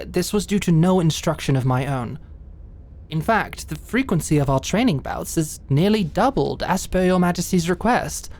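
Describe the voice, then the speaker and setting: A woman playing a composed, dutiful lady knight in a fantasy audio drama, speaking in a formal, measured, deferential way. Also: low-pitched, a little mellow.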